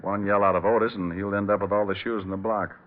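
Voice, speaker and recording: A muffled, narrow-band old radio recording of an actor playing a shrewd male private eye. He talks very quickly and fairly low, cool and probing, in classic radio crime drama style. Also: flat delivery, lightly acted. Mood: brisk. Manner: brisk, probing questions. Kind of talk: 1940s-50s radio detective acting.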